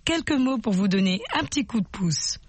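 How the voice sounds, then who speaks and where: A woman's voice: medium pitch, animated, dry recording. Woman narrating a language course. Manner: slow, clear, explaining. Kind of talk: scripted instructional narration.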